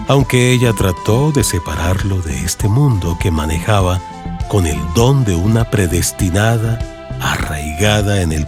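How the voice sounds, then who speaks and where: Deep-voiced Colombian man narrating, expressive. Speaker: audiobook narrator. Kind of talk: scripted literary narration, radio drama. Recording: music bed under narration. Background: music.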